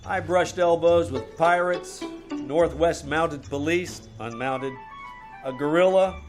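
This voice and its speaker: A man's voice: a little high, slow, faint music behind. A man playing a wry, wisecracking private detective, dryly narrating and talking in an old-time radio comedy. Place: live small-town theater stage.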